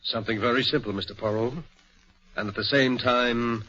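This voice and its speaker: A man's voice: medium pitch, steady tone, normal speed, sharp tone, faint music behind. Earnest, indignant father. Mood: indignant, determined. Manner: formal, insistent. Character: earnest, indignant middle-aged stockbroker father. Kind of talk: old-time radio drama acting. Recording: dull vintage radio recording.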